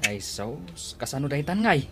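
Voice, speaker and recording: A man's voice: on the high side, sing-song, moderate pace, creaky. A man playing a scheming, playful friend of a driver in a radio comedy drama. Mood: teasing.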